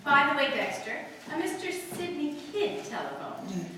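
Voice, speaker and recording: A woman's voice: high voice, sing-song, moderate pace, pushed and bright, full character voice. A woman acting a fluttery, fussing upper-class mother of the bride in a 1940s radio-play style. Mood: fussing, bright. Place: live staged radio-play performance in a hall. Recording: roomy, echoey distant live stage recording, faint noise.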